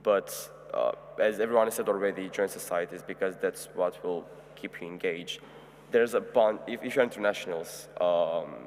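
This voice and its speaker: Young man from Romania, very low voice, student panelist, informal advice, long hall reverb, faint hum. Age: 20s. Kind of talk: unscripted advice to new students. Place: large echoing hall. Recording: reverberant hall, humming background noise.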